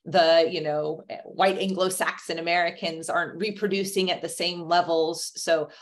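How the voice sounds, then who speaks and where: A woman's voice: fairly low pitch, steady tone, normal speed. A woman in her forties explaining things as an expert panelist on a video call, often checking in with a questioning tag. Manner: checks in with "right?". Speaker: historian panelist. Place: indoor room, video call. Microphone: video call computer mic.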